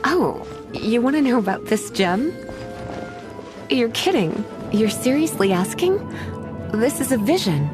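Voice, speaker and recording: Sultry scholar witch for a game: highish voice, very melodic, teasing, music underneath. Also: sing-song, a little slow. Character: languid, flirtatious, scholarly adult witch of electric magic. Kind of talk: video game voice acting.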